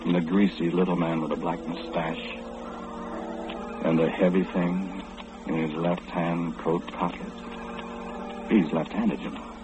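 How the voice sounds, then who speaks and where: An actor in an old-time radio play, playing a formal, fussy gentleman who calmly knows everything and speaks with matter-of-fact precision. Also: low voice, measured pace, pauses often. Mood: knowing, composed. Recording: dull, noisy old radio recording.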